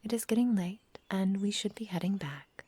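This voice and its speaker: A woman playing an adoring, possessive immortal forest witch in an intimate ASMR roleplay, with soft whispery speech, tender endearments and formal storybook phrasing. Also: mid-range voice, normal speed, slightly rough, dry recording, natural voice. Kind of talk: intimate ASMR roleplay, slow dramatic delivery.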